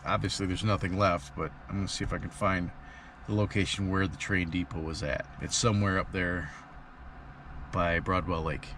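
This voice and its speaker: Guy doing a casual desert explorer vlog, lowish voice, lots of wind noise outside. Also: steady tone, moderate pace. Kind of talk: casual outdoor travel narration to camera. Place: outdoors in open desert. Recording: windy outdoor recording with loud noise. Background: loud wind noise.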